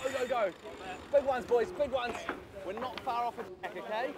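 A sailor on board a racing boat, shouting urgent commands to his crew. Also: very high voice, moderate pace, heavy background noise. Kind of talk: onboard crew calls.

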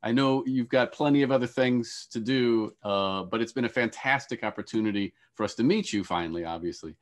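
A middle-aged man moderating an online panel, speaking haltingly and correcting himself, on a headset over a video call. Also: mid-range voice. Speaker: panel moderator, theatre professor. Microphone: earphone headset on a video call.

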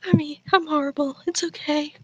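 A woman reading aloud casually and comedically, halting and stumbling over words. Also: high voice, steady tone, dry recording, highs cut off. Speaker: co-host of a group fanfiction reading.

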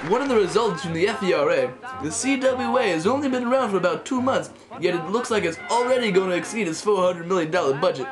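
A man's voice: sits high, expressive, fast, few pauses, crowd noise. A teenage man playing a jovial, flippant 1930s radio co-host in a chatty, hammy style. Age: teens. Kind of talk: mock 1930s radio program, scripted. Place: small wood-panelled room indoors. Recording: music playing over the voice.

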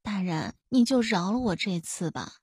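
A woman's voice: medium pitch, lively intonation, moderate pace, a little mellow, husky, no room sound, clean studio sound. A woman voicing an eager, cheeky young female constable who wheedles and pleads in an ingratiating way. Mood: apologetic pleading.

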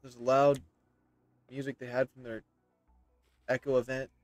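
A man's voice: mid-range voice, little pitch movement, a little slow, no echo, soft background music. A man, casually commenting on a game as he plays and repeating himself. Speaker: game streamer. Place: indoor room.

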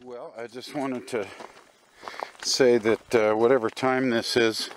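An older man giving casual, halting, musing commentary while hiking outdoors, recorded on a handheld camera. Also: medium pitch, fairly even intonation, loud music behind the voice. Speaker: hiker narrating a trail video. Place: outdoors on an open hillside trail.

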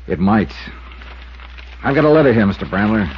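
An American actor playing a cool, probing insurance investigator and wry narrator in a measured 1950s radio drama style. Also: male voice, fairly low pitch, lively intonation, lightly acted. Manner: measured, questioning, dryly reflective. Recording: noisy, muffled 1950s radio recording.

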